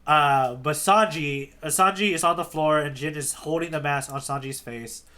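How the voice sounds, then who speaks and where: A man hosting a podcast, casually retelling a comic chapter, animated with many fillers and restarts. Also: on the high side, moderate pace. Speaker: podcast host, tour guide.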